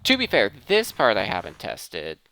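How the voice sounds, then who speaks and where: A man hosts a gaming video in a casual, think-aloud style, often breaking off to restart a thought. His voice is bright and crisp, with animated swings in pitch. It is recorded close and dry. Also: normal speed, no echo, low-quality recording. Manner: self-interrupting, thinking aloud. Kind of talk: casual gameplay commentary.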